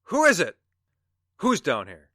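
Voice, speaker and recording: An actor playing an arrogant, rich attorney in an audio drama, brash and scornful in manner. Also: male voice, fairly high pitch, huge pitch swings, unhurried, bright, forceful tone, dry recording, studio quality, full character voice. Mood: alarmed, irritated. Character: arrogant, rich, sneering attorney, blustering rival.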